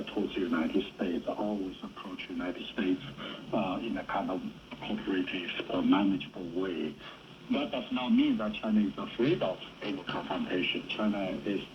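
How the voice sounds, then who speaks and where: A man with a fairly low voice offers political commentary in halting, non-native English. He is a professor on a panel, heard through clear shortwave static. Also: lively intonation, dull audio. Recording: shortwave radio with heavy static. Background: shortwave radio noise.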